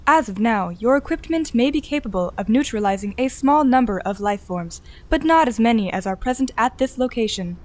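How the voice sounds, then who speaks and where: A woman voicing an artificial guide system in an audio drama, speaking in a formal, measured, procedural manner. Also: fairly high pitch, expressive, a little mellow, natural voice. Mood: calm, formal.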